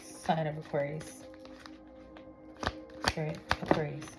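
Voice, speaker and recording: A woman's voice: low-pitched, little pitch movement, takes it slowly, creaky, poor audio. A woman from Miami doing a tarot reading in a chatty way, checking in with "okay?" often. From Miami, United States. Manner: chatty, with many fillers and "okay?" check-ins. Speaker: tarot reader. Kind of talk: casual spiritual reading vlog. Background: background music.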